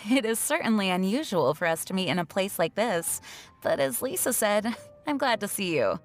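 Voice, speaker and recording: A woman's voice: mid-range voice, animated, moderate pace, faint music behind, lightly acted. Woman acting an earnest, composed game commander. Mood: polite. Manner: formal, composed, sincere. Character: earnest, polite, dutiful young woman leader, overworked.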